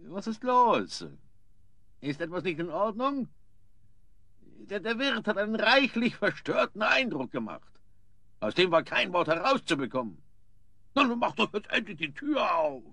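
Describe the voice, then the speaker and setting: A man's voice: high voice, very animated, unhurried, pauses often, pushed and bright, dry recording, heavily acted. A man playing a fussy father of the bride in an audio drama, theatrical and urgent. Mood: impatient.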